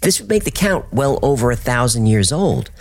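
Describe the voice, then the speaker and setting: A man narrating a scripted documentary, telling the story with a dramatic delivery and wide swings in pitch. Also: sing-song, normal speed, gravelly, soft background music.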